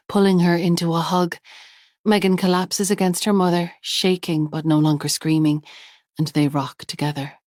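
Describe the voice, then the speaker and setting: Woman reading a thriller, lowish voice, dry close studio sound. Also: a little mellow, no room sound. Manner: measured, tense storytelling. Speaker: audiobook narrator. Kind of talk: scripted thriller narration.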